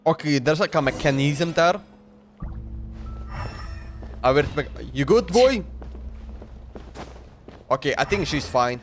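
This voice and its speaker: A man's voice: fairly high pitch, lively intonation, slow pace, pauses often. A man streaming a video game, thinking aloud in short, slangy, casual bursts. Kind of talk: casual live gameplay commentary. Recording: game music playing behind.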